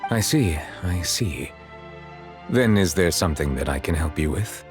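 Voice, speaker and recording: Deep, solemn, dignified judge. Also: male voice, lively intonation, music in the background. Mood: polite. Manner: formal, measured, erudite phrasing. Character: solemn, dignified, courteous male chief judge. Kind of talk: scripted game dialogue.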